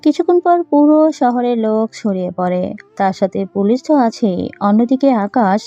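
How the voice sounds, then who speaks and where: A woman's voice: on the high side, lively intonation, normal speed, dark tone, no echo, highs cut off, soft background music. A woman narrating a scripted audio story.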